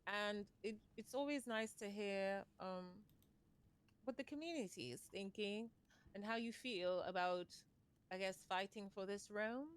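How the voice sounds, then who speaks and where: A woman's voice: mid-range voice, a little slow, smooth, close to an everyday voice. A woman in a live roleplay playing a guild member who leads a lore discussion, hesitant, polite and inviting. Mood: earnest. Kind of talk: improvised in-character roleplay, casual.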